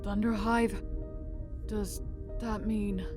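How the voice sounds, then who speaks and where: A woman's voice: mid-range voice, level tone, drawn-out words. A woman playing a concerned, doubtful member of a space pirate crew in an audio roleplay. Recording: voice over background music.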